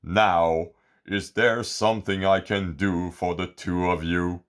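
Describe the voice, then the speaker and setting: A man's voice: deep voice, steady tone, slow. A man voicing a proud, kind male sea giant in a storybook reading, with a raised, carrying voice. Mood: grateful.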